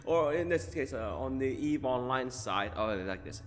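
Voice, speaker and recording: A man's voice: medium pitch, lively intonation, moderate pace, long reverb tail, soft background music. A man streaming a game, chatting casually and laughing at his own jokes. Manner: giggly, joking. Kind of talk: casual gameplay commentary.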